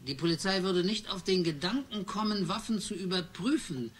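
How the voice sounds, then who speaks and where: An insistent questioner. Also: male voice, high-pitched, animated, moderate pace, fairly bright tone. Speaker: investigating protagonist. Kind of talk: German radio play dialogue.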